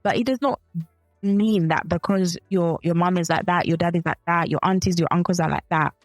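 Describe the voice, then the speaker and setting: A woman's voice: fairly low pitch, a little fast, no echo, soft background music. A woman from Zambia co-hosting a faith and relationships podcast, speaking thoughtfully and often correcting herself mid-sentence. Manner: thoughtful, self-correcting, with frequent restarts. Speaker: podcast co-host. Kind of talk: casual chat.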